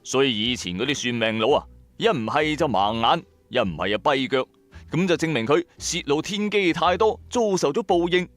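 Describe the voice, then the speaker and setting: A man with a Hong Kong Cantonese accent telling a first-person story in an expressive, colloquial way, with chatty asides to the listener. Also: a little high, normal speed, no room sound. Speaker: storyteller, narrator. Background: background music.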